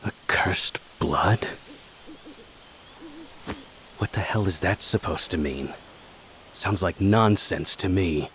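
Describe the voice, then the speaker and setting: Deep-voiced, ruthless captain. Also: male voice, slow, fairly bright tone, slight background noise. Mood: contemptuous. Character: arrogant, ruthless male army captain, ambitious villain. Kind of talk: video game cutscene acting.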